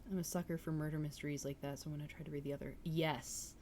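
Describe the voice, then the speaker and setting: Woman, low pitch, chatty livestream host, enthusiastic, casual delivery, noticeable background noise. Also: fairly even intonation, quick pace, warm tone. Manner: chatty, enthusiastic, reacting to chat comments. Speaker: livestream host reading books aloud. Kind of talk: casual livestream chat with viewers.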